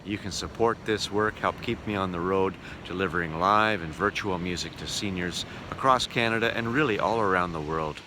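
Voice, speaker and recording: A man's voice: low voice, moderate pace, hardly any pauses, background noise. A man hosting a casual travel vlog, narrating with wry, joking asides. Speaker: vlog host and travelling musician.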